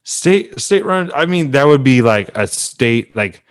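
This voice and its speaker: A man's voice: medium pitch, expressive, a little slow, no room sound. A man co-hosting a comedy podcast, easygoing and rambling, trailing off into fillers. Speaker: podcast co-host. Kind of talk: casual podcast banter.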